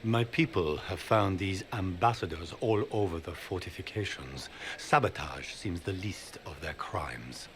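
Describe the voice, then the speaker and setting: An actor playing a scheming grand duke who woos allies with smooth, persuasive speech in a game cutscene. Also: male voice, sits low, measured pace, few pauses, fairly bright tone, a little hiss or hum. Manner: smooth, persuasive, theatrical emphasis. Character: scheming, charming noble grand duke, middle-aged man. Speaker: grand duke seeking the throne. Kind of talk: video game cutscene dialogue.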